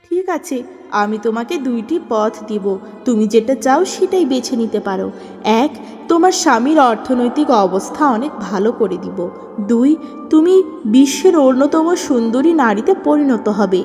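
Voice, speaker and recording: A woman voicing a calm, solemn water fairy in a cartoon fairy tale, speaking softly and with measured delivery. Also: a little high, in a large hall, soft background music, voice pushed down.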